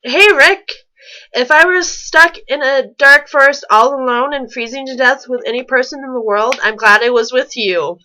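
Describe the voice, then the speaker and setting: A woman's voice: sits high, normal speed, sharp tone, poor audio. A woman reading fan fiction aloud with sarcastic, incredulous casual commentary, stumbling over the text now and then.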